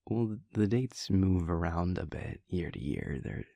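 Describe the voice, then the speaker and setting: A man playing an affectionate, playful vampire prince boyfriend in an intimate roleplay audio, speaking in a relaxed, conversational drawl with a low, creaky voice. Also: no room sound, exaggerated voice. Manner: halting, chuckling, relaxed drawl.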